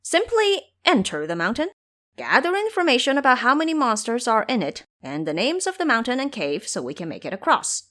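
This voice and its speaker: Scheming male monkey disciple, played by a woman, cocky and commanding, very lively pitch, audio drama. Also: brisk. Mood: sly, animated.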